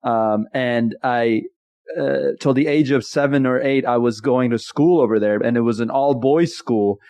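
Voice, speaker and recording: A man in his thirties, originally from Iran, chats as a comedian guest in a jokey, animated way and slips into laughter mid-sentence. His pitch sits in a middle range. The sound is dry and close, with no room echo. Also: moderate pace. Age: 30s. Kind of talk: casual interview storytelling.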